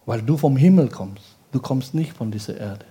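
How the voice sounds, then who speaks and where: A male pastor preaching in a language that is not his first, peppering his sermon with rhetorical questions and quick checks to the congregation. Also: medium pitch, expressive, warm tone, slightly rough. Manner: speaks German as a second language.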